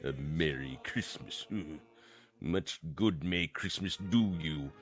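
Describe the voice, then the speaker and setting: A man's voice: rumbling low, takes it slowly, soft background music. A man playing a miserly, grumpy old businessman in a theatrical radio play, with a curt, scornful, barking delivery. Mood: bitter, mocking.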